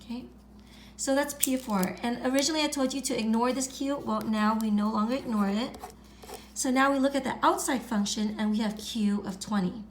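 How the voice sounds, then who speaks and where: A woman with a slightly high voice, raspy from a recent illness, walks through a math lesson. She repeats each step patiently and clears her throat often. A faint hiss sits under the recording. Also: brisk. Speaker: math teacher.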